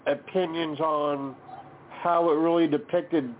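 A man's voice: a little high, fairly even intonation, slow, a little hiss or hum. A man hosting a casual talk show, steadily leading the conversation and questioning a guest. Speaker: podcast host. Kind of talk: casual chat, interviewing. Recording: tinny phone-like line.

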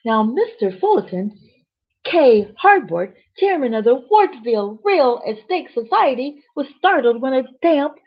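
A woman storyteller with a husky voice reads a children's bedtime story expressively, with chatty asides to young listeners. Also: high-pitched, big pitch swings, unhurried. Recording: dull, lo-fi sound.

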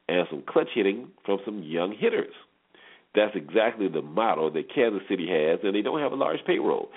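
A man hosting a sports radio show, giving conversational commentary and game previews over muffled, narrow-band audio. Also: low-pitched.

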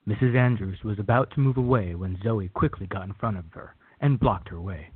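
A man's voice: on the low side, fairly quick, slightly rough, no room sound. A man narrating a scripted radio drama as a storyteller. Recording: tinny, phone-like narrow band.

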